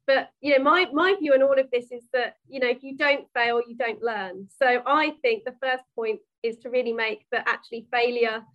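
A woman speaks on a panel about leading her teams, reflecting aloud as she goes. Her voice sits a little high. The audio is dull and lo-fi. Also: steady tone, normal speed, few pauses. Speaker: panelist, team leader. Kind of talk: panel discussion.

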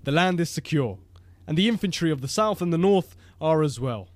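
A man's voice: a little high, animated, brisk, a little mellow, no echo, close to an everyday voice. A man playing an earnest, loyal Egyptian army officer in a declamatory dramatic reading. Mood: reporting. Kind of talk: dramatic reading of an ancient text.

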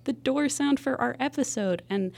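A woman's voice: high-pitched, normal speed, creaky, darkish tone. A woman co-hosting a podcast, chatting casually with frequent ums. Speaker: podcast co-host.

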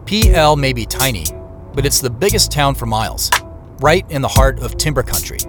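Audio drama lead, male actor: reflective traveler narrating his journey, mid-pitched, animated inflection, music underneath. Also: moderate pace, close to an everyday voice. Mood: reflective, observant. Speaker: narrator and protagonist. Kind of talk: scripted first-person narration.

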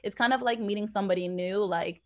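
An American woman with a mid-pitched voice talks as a guest in a relaxed interview format. She tells stories from her own life conversationally, leaning on repeated phrases to make her point. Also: quick pace. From born in Jamaica, grew up in Boston, USA. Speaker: podcast guest, anthropologist and professor. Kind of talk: conversational interview, personal storytelling.

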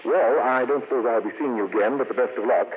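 An actor playing an affable, casual songwriter, courteous and a little hesitant, in a 1950s radio drama. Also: male voice, mid-range voice, quick pace, close to an everyday voice. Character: affable, casual male popular songwriter and country neighbour. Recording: tinny, slightly noisy 1950s radio broadcast.

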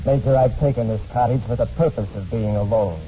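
An actor playing a bitter, reclusive war veteran, curt and defensive, in 1940s radio drama style. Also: male voice, mid-range voice, a little fast, mellow, a little hiss or hum, close to an everyday voice. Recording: muffled old radio broadcast.

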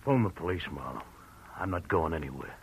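A man's voice: a bass voice, lively intonation, brisk. An actor playing a defeated, lovesick young man on the run in 1940s radio drama style. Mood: resigned. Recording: noisy old radio recording.

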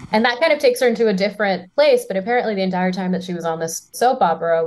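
A woman music journalist, a podcast guest, explaining pop history in a thoughtful conversational way. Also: on the low side.

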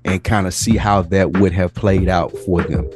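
Deep-voiced man chatting casually. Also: steady tone, normal speed. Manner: informal, slangy, swearing. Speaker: podcast co-host. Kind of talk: casual chat about films, music and plays.

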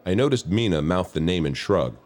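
A skeptical federal agent in an audio drama. His voice is very low and swings in pitch as he moves between brisk narration and clipped questioning. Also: animated, a little mellow.

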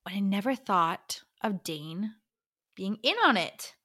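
A woman's voice: mid-range voice, lively intonation, slow, pushed and bright. A woman co-hosting a book podcast, chatting casually and reading out answers in an enthusiastic, playful way.

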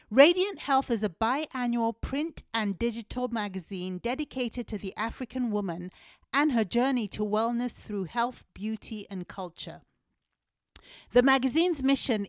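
A woman with a slightly high voice hosts a podcast, first reading a prepared introduction and then chatting in an upbeat, friendly way with her guest. Her voice sounds close and very dry, with no room around it. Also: smooth, no echo. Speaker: podcast host.

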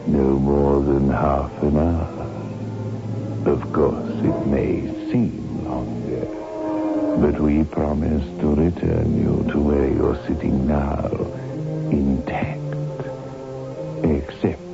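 An actor playing a ghoulish, mock-polite horror host who speaks in an ominous, theatrical, drawn-out way on an old radio show. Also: male voice, extremely low pitch, fairly even intonation, vocal fry, exaggerated voice. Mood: sinister, mock-welcoming. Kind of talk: 1960s radio horror hosting, slow and theatrical. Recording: muffled old radio recording, loud music.

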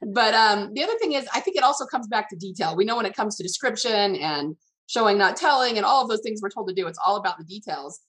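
Female podcast interviewer, average pitch, casual conversational delivery on writing topics. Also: lively intonation, fast, few pauses, fairly bright tone.

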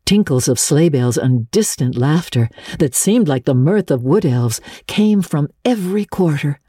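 A woman narrating classic literature as an audiobook, with dramatic, measured storytelling. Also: deep voice, lively intonation, mellow, breathy edge, no room sound, studio quality.

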